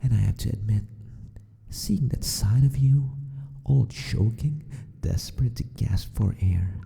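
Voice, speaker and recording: A man playing a sadistic, possessive mad scientist in an ASMR roleplay, gloating and teasing with mock courtesy in a husky near-whisper. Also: mid-range voice, unhurried, mellow. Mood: twisted infatuation.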